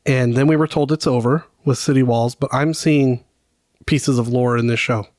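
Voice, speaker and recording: A man's voice: medium pitch, fairly even intonation, moderate pace, darkish tone, dry recording, clean studio sound. A man co-hosting a casual fan podcast, chatting speculatively and often trailing off mid-thought. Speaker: podcast co-host.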